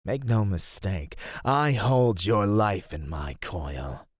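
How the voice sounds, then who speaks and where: A man performs as an ancient predatory serpent monster in an ASMR roleplay. He speaks slowly and deliberately, savouring ornate threats with teasing patience. The sound is close and dry, with no room around it. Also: on the low side, sing-song, no echo, heavily acted. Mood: threatening, haughty. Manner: slow, deliberate, ornate menacing speech with whispered asides. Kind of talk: ASMR roleplay, scripted acting.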